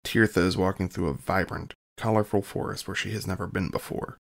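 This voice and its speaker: Scene narrator, male: lowish, creaky voice, scripted announcements. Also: fairly even intonation, brisk.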